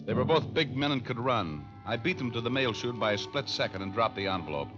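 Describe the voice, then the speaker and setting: A man's voice: mid-range voice, expressive, a little fast, natural voice. An American man playing a hard-boiled, wisecracking private detective in a vintage radio drama, breezy and sardonic. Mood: wry narrating. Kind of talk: 1940s-50s radio detective drama, wry narration. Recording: muffled old radio recording with music.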